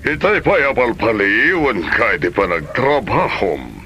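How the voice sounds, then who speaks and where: A man playing a middle-aged company boss and protective, probing father in a comedy drama, speaking with deliberate authority. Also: medium pitch, very animated, sharp tone, muffled recording, slight background noise. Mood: brisk, commanding. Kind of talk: Ilocano comedy drama acting.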